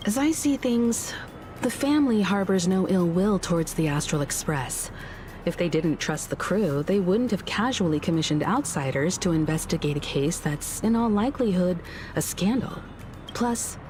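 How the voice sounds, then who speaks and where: A composed, cautious woman voiced by an actress in a game story scene. Her voice sits fairly low as she reasons things out in measured, deliberate phrases. Music plays clearly behind her. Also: lively intonation, a little mellow, close to an everyday voice. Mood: measured, wary. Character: calm, analytical adult woman, cautious crew member. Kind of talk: scripted game story dialogue. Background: background music.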